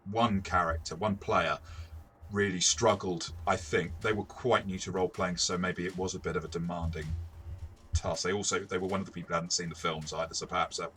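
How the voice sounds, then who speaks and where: A man with a low, bright, forward voice chats as co-host of a game review. He explains his experience as a game master in a measured, conversational manner. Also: a little hiss or hum. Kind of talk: casual discussion and review.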